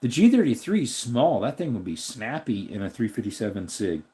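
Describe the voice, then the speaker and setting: A man with a slightly raspy voice hosts a relaxed live stream. He rambles and thinks aloud as he answers his viewers' comments. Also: mid-range voice, fairly quick. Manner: rambling, thinks aloud while looking things up.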